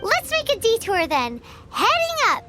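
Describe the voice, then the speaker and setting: A tiny floating sprite companion with a very high, squeaky voice that bounces up and down in pitch. She sounds bubbly and cheeky as she refers to herself in the third person. Game music plays loudly behind her. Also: wildly swooping pitch, a little slow, full character voice. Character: small chirpy female floating companion sprite. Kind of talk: video game cutscene voice acting.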